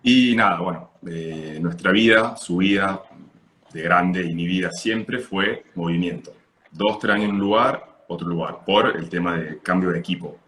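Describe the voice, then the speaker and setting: Man, Argentine accent, deep voice, unhurried interview guest, echoey room, video call. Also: slight background noise. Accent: Argentine Spanish. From Italy, raised partly in Argentina. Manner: relaxed, just woke up, unhurried with pauses.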